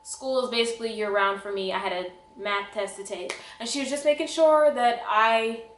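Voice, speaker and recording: Young woman doing dramatic storytime, kinda high voice, slangy, roomy echo. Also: normal speed, a little hiss or hum. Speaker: vlogger. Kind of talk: animated personal storytelling with acted-out dialogue. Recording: echoey room.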